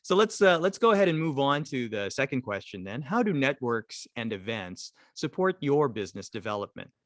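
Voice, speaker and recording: A man's voice: mid-range voice, sing-song, fairly quick, a bit bright, no room sound, clean studio sound. A man moderating a panel discussion over a video call, upbeat and friendly. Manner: friendly, upbeat, laughs along.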